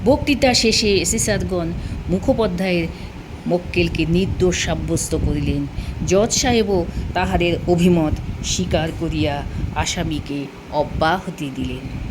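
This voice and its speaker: A woman reading a literary short story aloud in an expressive storytelling style. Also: on the low side, background noise.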